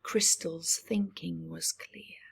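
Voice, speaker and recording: A woman's voice: sits low, steady tone. A woman reading a rhyming picture book aloud at story time, stopping to chat with young listeners and dropping into whispers. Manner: slow rhyming read-aloud with chatty asides to young listeners; drops to breathy whispers. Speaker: storybook reader.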